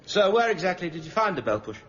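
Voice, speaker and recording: An actor playing a confident, cunning British barrister in a radio drama, measured, suave and probing. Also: male voice, high voice, very animated, fairly bright tone, dull audio. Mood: smoothly probing. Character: confident, cunning male defence barrister, smugly persistent.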